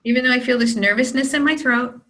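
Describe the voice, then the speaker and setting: A woman practitioner guiding a client through an EFT tapping session, giving calm phrases for her to repeat. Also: fairly high pitch, quick pace.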